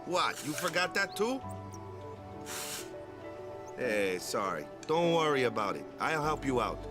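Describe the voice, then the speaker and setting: Easygoing young island athlete, lively pitch. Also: male voice, unhurried. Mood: friendly, reassuring. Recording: game audio with music over it.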